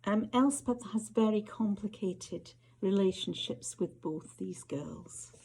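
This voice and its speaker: A woman with a Scottish accent reading short stories aloud as an author, her voice husky. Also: medium pitch, takes it slowly, warm tone, a little hiss or hum. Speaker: author reading their fiction.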